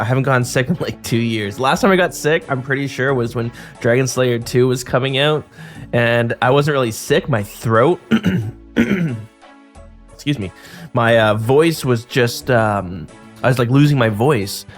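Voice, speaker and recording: A man hosting a podcast in casual chat, his voice a bit hoarse from getting sick, rambling with many fillers and restarts. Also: medium pitch, measured pace, faint music behind. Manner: rambling, filler-heavy, self-interrupting. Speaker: podcast host.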